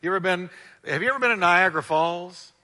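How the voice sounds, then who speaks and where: A pastor preaching a sermon in a loud voice, with emphatic, dramatic delivery and stressed, drawn-out words. He calls on the congregation to repeat phrases after him. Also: high-pitched. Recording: long hall reverb.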